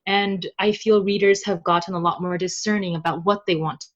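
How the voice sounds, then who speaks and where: A woman's voice: fairly low pitch, fairly even intonation, a little fast, dull audio. A woman chatting informally as an interview guest, explaining things in a conversational way. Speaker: podcast guest, web novel translator. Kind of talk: casual interview chat.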